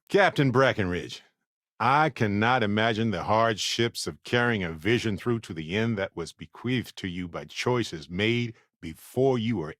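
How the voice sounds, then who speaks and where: A man playing a wry, warm-hearted middle-aged space explorer in a video game, conversational with earnest asides. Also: fairly low pitch, no room sound, studio quality, heavily acted. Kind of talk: acted video game companion dialogue.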